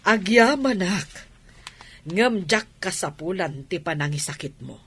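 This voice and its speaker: An actress in an Ilocano radio drama playing a gentle, trusting wife who stands up for a partner. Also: female voice, on the low side, animated, measured pace, slightly rough, exaggerated voice. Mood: defensive, hurt. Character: gentle, trusting wife defending her man.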